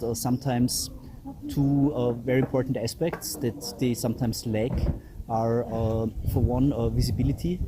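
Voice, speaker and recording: A man's voice: medium pitch, noisy background. A man from Austria, an interview guest, answering in a hesitant way with many fillers. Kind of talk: casual interview.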